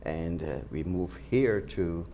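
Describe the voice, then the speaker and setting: Older guy from barbados, pastor, real low voice, kinda halting, stops and restarts a lot. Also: dark tone, a little hiss or hum. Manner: earnest, hesitant with many restarts. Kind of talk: interview answers.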